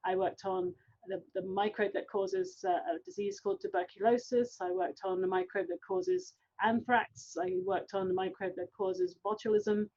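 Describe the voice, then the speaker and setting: A woman's voice: medium pitch, fairly even intonation, moderate pace, warm tone. The keen English professor from London you'd hear giving an online science talk to the public, a middle-aged woman on slightly dull call audio. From London, England. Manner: informal, enthusiastic. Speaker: guest scientist, university professor.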